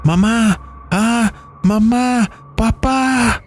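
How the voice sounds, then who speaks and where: A frightened small girl, as a male narrator puts her on in an audio horror story, pleading in a voice pitched well above his own. She calls out for her parents in distress, with music behind her. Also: very slow, exaggerated voice. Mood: crying, pleading. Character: terrified little girl crying out for her parents.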